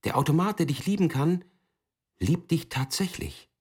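A man playing an explainer in a literary radio play, emphatic and didactic, addressing the listener directly in a husky voice. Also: a little high, big pitch swings, normal speed, a little mellow, full character voice. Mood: emphatic, explanatory. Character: male explainer speaking for a loving automaton.

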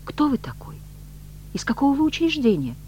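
A man's voice: very high voice, huge pitch swings, a little fast, darkish tone, muffled recording, a little hiss or hum, pitched above the performer's own voice, exaggerated voice. A man voicing a proud, unhappy woman in an audiobook, emotional and wary, moving between indignation and pleading. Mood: anxious questioning.

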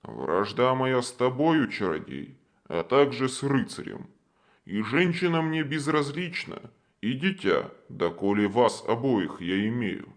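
A man voicing a menacing nightmare monster in a storybook, commanding in archaic, deliberate speech. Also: mid-range voice, lively intonation, natural voice. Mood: sinister gloating. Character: menacing nightmare monster taunting a knight and wizard.

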